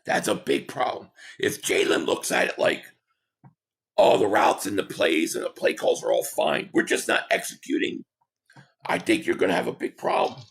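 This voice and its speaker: Hoarse gravelly-voiced man losing his voice. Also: sits low, lively intonation, normal speed. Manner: rambling, opinionated, repeats himself. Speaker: sports talk livestream host. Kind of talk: casual livestream chat reading viewer comments.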